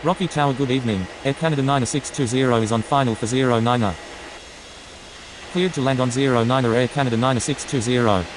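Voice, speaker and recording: A man voicing a brisk airline pilot in a flight game, giving clipped, procedural radio calls. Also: medium pitch, noisy background, close to an everyday voice. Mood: businesslike. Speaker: airline pilot on air traffic control radio. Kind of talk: scripted radio phraseology.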